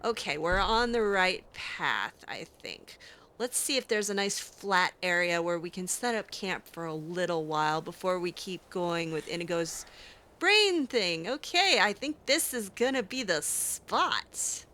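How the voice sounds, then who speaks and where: A woman's voice: fairly low pitch, expressive, measured pace, bright, forceful tone. A woman doing in-character improvised narration of a video game, musing aloud to herself as she goes. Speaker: let's play host roleplaying her character.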